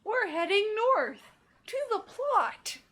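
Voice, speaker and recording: A woman's voice: extremely high pitch, sing-song, slow pace, pushed and bright. A woman hosting a gaming video with casual, joking, sarcastic commentary. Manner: sarcastic, wry.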